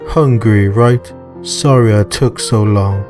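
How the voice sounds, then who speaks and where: A man voicing an anxious adult male neighbour in a visual novel, speaking haltingly. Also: lowish voice, music in the background. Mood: caring.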